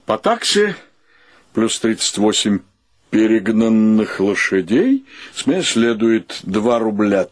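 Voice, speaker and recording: An actor playing an exuberant, joking gentleman with ornate courtesy and theatrical exclamations in a radio play. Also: mid-range voice, breathy edge, exaggerated voice. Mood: jocular. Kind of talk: Russian radio play acting.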